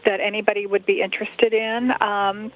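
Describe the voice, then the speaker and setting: A woman's voice: mid-range voice, level tone, normal speed, slight background noise. A woman speaking over the telephone, hesitant and politely noncommittal, as a church worker fielding a request. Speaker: church staff member. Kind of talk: unscripted phone conversation. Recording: muffled band-limited phone line.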